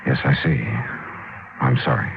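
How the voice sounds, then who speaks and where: An actor playing a hard-boiled but courteous insurance investigator, wry and clipped, in 1950s radio detective style. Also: male voice, very deep voice, unhurried, creaky, noisy background, full character voice. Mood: concerned, probing. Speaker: detective lead and narrator. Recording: thin, phone-like old radio recording with music.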